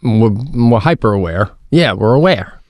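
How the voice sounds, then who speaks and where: A man co-hosting a comedy podcast, telling stories in an animated way, swearing freely and restarting his sentences. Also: fairly low pitch, unhurried, no echo, studio quality. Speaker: podcast co-host. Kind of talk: casual comedic chat.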